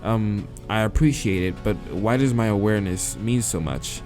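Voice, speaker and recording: A man's voice: lowish voice, moderate pace, music in the background, lightly acted. A man voicing a blunt, impatient human man, the casual-spoken protagonist of a visual novel. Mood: puzzled.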